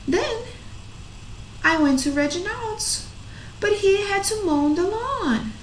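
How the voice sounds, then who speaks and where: In an echoey room, a woman plays a whiny young storybook schoolboy in a very high voice. He is grumpy about everything and stretches out his complaints with heavy emphasis, slowly and deliberately. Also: animated, full character voice. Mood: disgruntled. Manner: exaggerated, drawn-out complaining emphasis. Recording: echoey room, faint noise.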